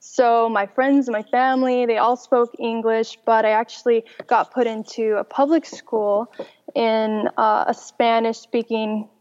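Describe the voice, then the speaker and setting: A young woman from Mexico tells her story with cheerful ease and a slightly higher voice. The audio is muffled and lo-fi, with a long, lingering reverb behind her. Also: little pitch movement, a little slow, a little mellow. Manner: cheerful, laughs often. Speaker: interview guest. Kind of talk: personal testimony in conversation.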